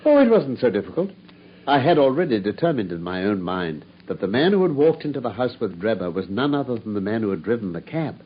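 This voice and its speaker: A coolly brilliant, self-assured male detective, lays out his reasoning with crisp precision and a lively, rising-and-falling voice. The voice has a slightly husky grain. It comes through a dull, lo-fi old radio broadcast. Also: lowish voice. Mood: explaining. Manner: precise, measured, lively explanatory delivery. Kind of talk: 1950s radio drama acting.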